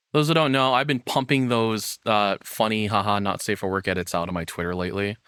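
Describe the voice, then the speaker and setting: Animated man hosting a casual livestream. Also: lowish voice, dry recording, clean studio sound. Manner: rambling, self-deprecating. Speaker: livestream host. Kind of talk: casual livestream chat to viewers. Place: own room. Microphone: processed streaming microphone, close and dry.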